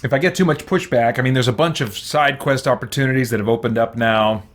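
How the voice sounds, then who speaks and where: Male let's play host, medium pitch, casual conversational gameplay commentary. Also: quick pace.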